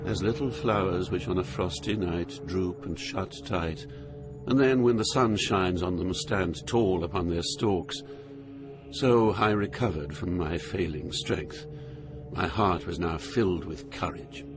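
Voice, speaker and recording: Low-voiced, sombre poet narrator. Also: male voice, music in the background. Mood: reflective. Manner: literary, deliberate, sombre. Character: Dante the poet, grave man recounting his journey. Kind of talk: scripted dramatic narration.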